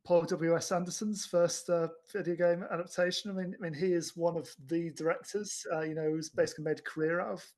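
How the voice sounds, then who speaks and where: A man's voice: on the high side, steady tone, brisk, few pauses, a little mellow. A man chatting casually as a podcast host about films, with a hesitant delivery full of repeated restarts. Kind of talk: casual chat reviewing films.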